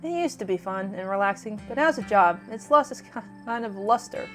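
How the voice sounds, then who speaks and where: A woman's voice: mid-range voice, lively intonation, brisk, fairly bright tone, soft background music, close to an everyday voice. A woman reading the part of a wry, downbeat young city dweller in a visual novel. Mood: wry, resigned.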